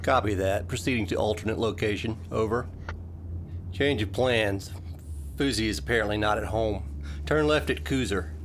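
An actor playing a steady, authoritative police captain in an audio drama, clipped and commanding. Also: male voice, on the low side, moderate pace, slight background noise, lightly acted.

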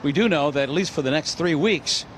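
A man's voice: a little high, fairly quick, slight background noise. An older man with an American accent reporting as a television news correspondent on a handheld microphone. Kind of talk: live news report and scripted narration. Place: airport terminal and curbside.

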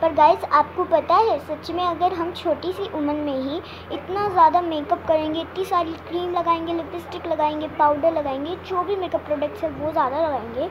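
A woman's voice: very high voice, lo-fi sound, noisy background. A young girl, presenting a moral story to viewers from a room at home. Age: child, under twelve. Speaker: host of children's story channel. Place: small home room indoors. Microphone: phone at arm's length.

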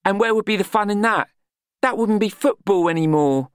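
A man's voice: sits high, moderate pace, clean tone, dry recording, studio quality, voice pushed up, lightly acted. A man voicing a bossy, practical young woman in an audiobook, blunt, exasperated and scolding.

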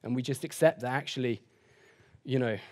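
A man in his thirties answering questions after a keynote at a conference, hedging often and speaking quickly. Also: medium pitch, darkish tone. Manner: hedging, sort of, you know. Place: conference hall stage.

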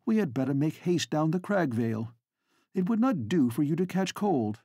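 A man playing a lovelorn gentleman. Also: fairly high pitch, very animated, moderate pace, slightly rough, no echo, studio quality, pitched above the performer's own voice, heavily acted. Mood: bitter. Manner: passionate, reproachful. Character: devoted lovelorn gentleman, wounded and bitter.

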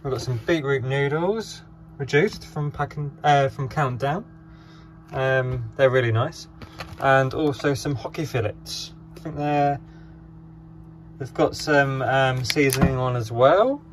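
Relaxed man vlogging with lots of ums. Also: mid-range voice, unhurried, a little hiss or hum. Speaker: travel vlogger. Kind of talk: casual vlog to camera.

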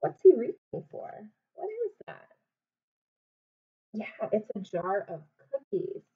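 A woman in her twenties reading aloud to young children like a teacher, asking them simple questions in an animated way. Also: medium pitch, slow, husky. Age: 20s. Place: small home room, indoors. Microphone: webcam or laptop mic at arm's length. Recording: dull webcam sound, distant mic.

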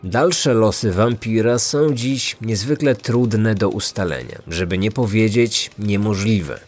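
Guy narrating true crime podcast, kinda low voice, scripted and dramatic, music behind. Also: slow pace, darkish tone.

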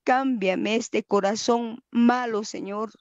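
A woman prays aloud at a slow, unhurried pace, earnest and pleading, often letting the ends of her phrases fade to a near murmur. Her voice sits in a middle range and sounds dry and close. The recording is dull and lo-fi. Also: a little high, fairly even intonation, no echo. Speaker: person praying. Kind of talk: spontaneous devotional prayer.

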